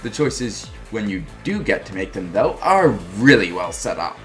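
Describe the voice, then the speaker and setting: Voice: deep voice, big pitch swings, normal speed, over loud music. A reviewer narrating a scripted review in an informal, wry and emphatic way. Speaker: video game reviewer.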